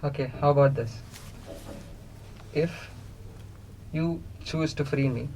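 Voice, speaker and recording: A man playing a captive, curious otherworldly being in a radio play, speaking in a deliberate, naive way and yearning for freedom. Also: fairly high pitch. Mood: solemn, bargaining. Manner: flat, deliberate, naive questioning. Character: captive four-dimensional being made to resemble a human, curious, yearning for freedom. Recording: noisy recording with background ambience.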